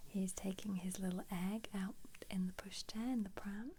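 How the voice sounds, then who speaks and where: A British woman whispers softly and breathily, close to the mic. Her voice is mellow and stays within a narrow, even melody as she gives casual, rambling ASMR commentary. A faint hiss of noise sits behind her. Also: mid-range voice, moderate pace. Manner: casual, gentle, rambling asides. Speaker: ASMR creator. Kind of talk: soft-spoken ASMR book flip-through commentary.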